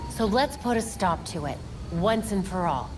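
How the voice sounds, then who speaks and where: An actress voicing a quick-witted, determined young woman vigilante in a video game, wry and teasing. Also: mid-range voice, expressive, close to an everyday voice. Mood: resolute. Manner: wry, teasing, then resolute. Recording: noisy game cutscene audio with long reverb.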